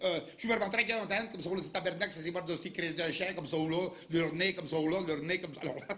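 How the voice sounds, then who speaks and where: Papagali, a ranting Dauphinois yokel. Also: male voice, fast talker, sharp tone. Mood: mocking. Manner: ranting, repetitive, squeals up high. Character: boastful rustic Dauphinois man mocking accents. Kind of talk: comic radio sketch.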